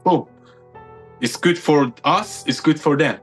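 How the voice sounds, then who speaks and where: A man's voice: a little high, takes it slowly, a bit bright, slightly rough, faint music behind. A man from the United Kingdom, a podcast guest giving his opinions in casual chat, stacking up points with repeated phrases.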